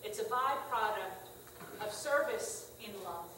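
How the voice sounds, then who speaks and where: A woman's voice: medium pitch, lively intonation, measured pace, far from the mic, a little hiss or hum. Wry woman preaching in an echoey church. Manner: conversational, wry and gently humorous. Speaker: pastor. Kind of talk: sermon. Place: small church sanctuary. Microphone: far room camera.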